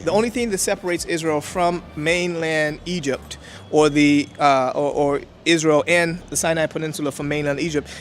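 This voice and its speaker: High-voiced man lecturing outdoors, native Hebrew. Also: fairly even intonation, normal speed, few pauses, slight background noise. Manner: emphatic, lecturing, with many "right?" checks. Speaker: interview guest. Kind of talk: explaining history in an interview.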